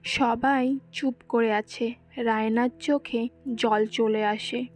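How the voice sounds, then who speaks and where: A woman's voice: on the high side, steady tone, takes it slowly, over loud music. A woman reading scripted fairy-tale narration as the narrator of a story.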